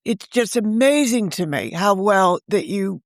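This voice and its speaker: A woman's voice: medium pitch, animated, a little slow, dry recording, studio quality. A woman around seventy, a guest on a podcast, talking chattily and often speaking over the host. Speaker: novelist and podcast creator, guest. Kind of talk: casual interview chat.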